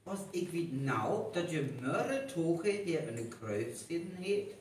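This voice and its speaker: A man's voice: a little high, very animated, slow pace, darkish tone. A man acting in a North Frisian live radio play as someone questioning a detective's explanation. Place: hall with a live audience. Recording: echoey live hall recording, distant, faint noise.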